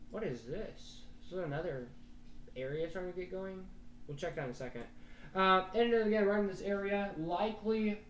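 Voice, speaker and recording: A man's voice: high voice, reverberant, far from the mic, slight background noise. A young man, a weather forecaster giving casual, rambling live storm commentary on a stream.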